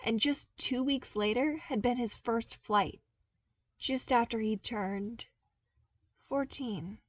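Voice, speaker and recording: Female audiobook narrator, unhurried and expressive fiction read, dry sound. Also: on the high side, no room sound.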